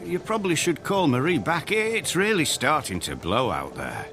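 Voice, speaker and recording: Older island postman, friendly, folksy, hugely swooping pitch, radio drama with music behind. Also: sing-song, moderate pace. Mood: helpful. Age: 60s. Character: friendly older island mailman, concerned and puzzled. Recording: music bed behind dialogue.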